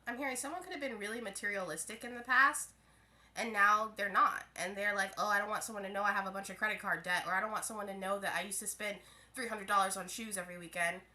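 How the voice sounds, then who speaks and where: A woman's voice: medium pitch, fairly even intonation, fast talker, pushed and bright. A woman giving a casual tarot reading to her viewers, a little stuffy from a head cold, musing aloud and often restarting her phrases. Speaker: tarot reader.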